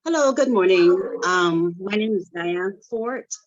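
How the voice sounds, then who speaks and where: A woman giving a formal, measured self-introduction as a director in an online meeting. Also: medium pitch, expressive. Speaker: sales and marketing director. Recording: slightly dull online call audio.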